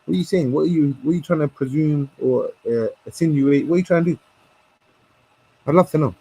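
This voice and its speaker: A young man with a London accent hosting a heated online debate, arguing and cutting in with quick retorts. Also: on the high side, warm tone, breathy edge, low-quality recording. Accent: London English. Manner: argumentative, interrupts, quick retorts. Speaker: host.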